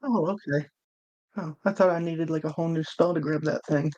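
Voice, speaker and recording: A woman's voice: low voice, steady tone, a little fast, darkish tone, dull audio. A woman chatting casually as a player in a tabletop role-playing game, describing moves to the group. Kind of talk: casual chat.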